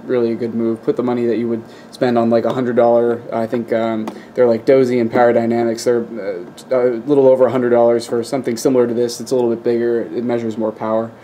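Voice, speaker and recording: Flat-toned man explaining casually, somewhat hesitant. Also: medium pitch, quick pace, slight background noise. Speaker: video host, radio hobbyist. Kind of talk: casual unscripted demonstration, explaining. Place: indoors at a wooden workbench.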